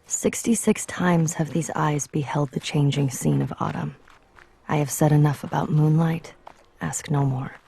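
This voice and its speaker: A woman reading literary quotations from a script in a measured, contemplative manner. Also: sits low, fairly even intonation, vocal fry, warm tone, husky, poor audio.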